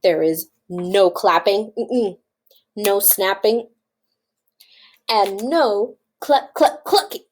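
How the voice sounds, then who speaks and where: A woman reading a storybook farmer, a bossy man who lays down rules in an emphatic, deliberate way. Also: high-pitched, voice pushed up. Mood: stern, emphatic. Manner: emphatic, deliberate rule-giving. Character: stern, bossy male farmer preparing animals for school. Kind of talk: storybook read-aloud.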